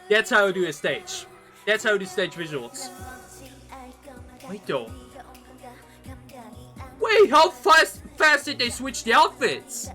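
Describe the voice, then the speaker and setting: A man's voice: sits high, big pitch swings, takes it slowly, long pauses, pushed and bright, faint music behind. A man hosting reaction videos, talking casually in a rambling, enthusiastic way. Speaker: K-pop reaction video host.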